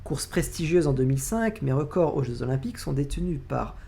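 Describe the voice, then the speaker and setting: A man's voice: on the high side, lively intonation, a little fast, creaky, mellow. A man with a French accent hosting an online community quiz, reading clues aloud and counting down the points. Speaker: quiz host.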